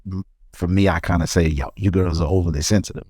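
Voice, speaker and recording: Middle-aged man, deep low voice, earnest, repeats himself, dry close sound. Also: fairly even intonation, quick pace, warm tone, husky, no echo. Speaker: podcast co-host. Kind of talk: casual conversation.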